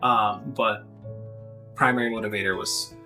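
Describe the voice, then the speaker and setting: A man from Jamaica speaking in a casual conversation, measured and thoughtful with frequent pauses and fillers. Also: fairly low pitch, fairly even intonation, low-quality recording. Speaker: guest. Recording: soft guitar music underneath.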